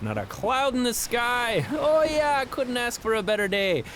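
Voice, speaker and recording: High, swooping, jovial pirate captain. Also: male voice, big pitch swings, unhurried, a bit bright, slight background noise, heavily acted. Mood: cheerful. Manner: folksy, mock-cheerful politeness. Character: large, jovially menacing red-bearded pirate captain, ruthless. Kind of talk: audio fantasy drama acting.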